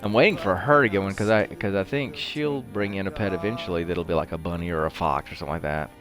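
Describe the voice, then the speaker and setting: Deep-voiced man rambling through gameplay commentary. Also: fast, music in the background. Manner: rambling, conversational, thinking aloud. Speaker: host of a video game playthrough show.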